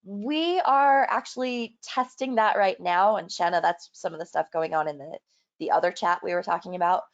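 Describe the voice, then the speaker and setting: A woman with a slightly low-pitched voice leads an online software walkthrough. Her delivery is explanatory and businesslike. The sound is a bit muffled, as from a lo-fi internet call. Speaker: software trainer and presenter. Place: online video call or webinar.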